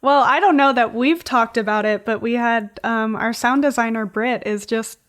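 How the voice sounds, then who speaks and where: Higher-voiced casual woman guest. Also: normal speed, a bit bright. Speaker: podcast co-creator, guest. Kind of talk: casual interview chat.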